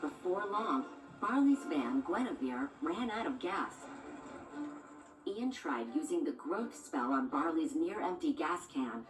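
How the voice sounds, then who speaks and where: Female storybook narrator for children, lowish voice, scripted read, music underneath. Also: very animated, measured pace. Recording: echoey, distant, music behind.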